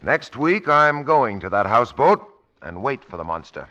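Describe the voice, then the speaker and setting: An actor playing a confident, smooth-talking radio commentator in a 1940s drama. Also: male voice, mid-range voice, sing-song, normal speed, bright, forceful tone. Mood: self-assured. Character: brisk, self-assured male radio commentator. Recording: muffled old 1940s radio recording.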